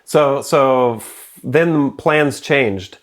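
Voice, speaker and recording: A man's voice: medium pitch, drawn-out words. A man in his forties, a guest in a casual interview, talking in a rambling, self-deprecating way and laughing often. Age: 40s.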